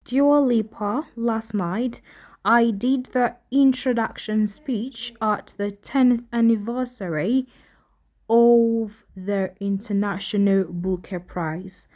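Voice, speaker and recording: Woman reading a short post out loud, slow and even, dull lo-fi sound. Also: a little high.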